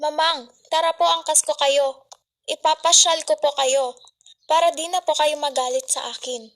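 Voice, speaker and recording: A woman's voice: sits high, steady tone, normal speed, fairly bright tone. A woman playing a spirited, cheeky young daughter who loves motorbikes, in an amateur radio drama with a Filipino accent. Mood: pleased, sweet. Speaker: student radio drama actor.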